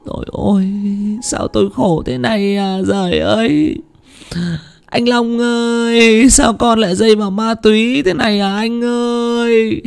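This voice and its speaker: A man voicing a middle-aged widow wailing in grief with long, drawn-out cries. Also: very high voice, steady tone, gravelly, smooth, pitched above the performer's own voice, exaggerated voice.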